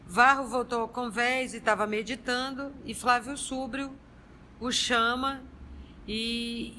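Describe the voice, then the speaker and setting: A study circle member with a Brazilian accent, a woman slowly and haltingly recapping last week's chapter over faint noise. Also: fairly high pitch, sharp tone, poor audio. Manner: halting, searching for words. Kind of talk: informal retelling.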